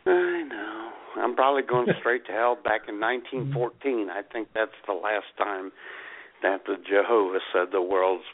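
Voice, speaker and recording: A man's voice: on the low side, moderate pace. A man co-hosting a comedy radio show, chatting casually in a folksy, irreverent storytelling way. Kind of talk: casual joking banter. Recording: narrow phone-like audio.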